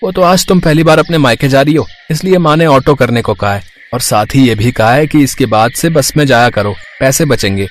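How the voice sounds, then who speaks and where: A man's voice: medium pitch, a little fast, hardly any pauses, a little hiss or hum, natural voice. Man acting an earnest family man. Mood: matter-of-fact. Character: earnest husband, also indignant father of bride. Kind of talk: acted cartoon story dialogue.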